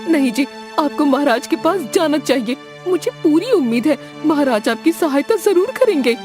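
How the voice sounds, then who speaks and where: A worried, practical wife in a cartoon tale, voiced by a woman. She presses her point urgently in a raised, lively voice. Music plays underneath. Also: high voice, normal speed, voice pushed up. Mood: urging. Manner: urgent, persuasive. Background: background music.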